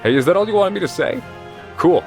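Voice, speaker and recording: A man's voice: high voice, animated, fast talker, a little mellow. A man playing a laid-back, scruffy adventurer in a fantasy audio drama, casual and cheeky. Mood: playful. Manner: casual, blithely friendly, slangy. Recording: music behind the voice.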